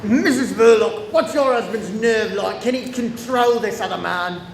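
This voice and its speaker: A man's voice: high voice, a little slow, gravelly. A man playing a brusque, inquisitive police inspector with a wry streak in a radio drama. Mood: brusque, probing. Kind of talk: radio play acting. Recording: echoey room with faint noise.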